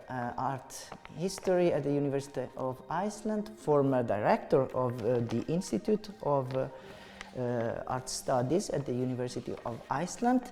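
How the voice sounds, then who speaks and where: Italian-accented man interviewing, halting and full of ums, dark mellow voice, reverby hall, music behind. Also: mid-range voice. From Italy. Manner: halting, many filler sounds. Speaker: interviewer and translator. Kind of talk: hesitant conversational interview.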